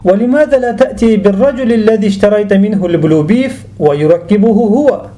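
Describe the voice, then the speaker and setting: A man gives a language lesson in a high but soft-edged, mellow voice. He explains in a didactic way and sets out each example with deliberate care. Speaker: language teacher.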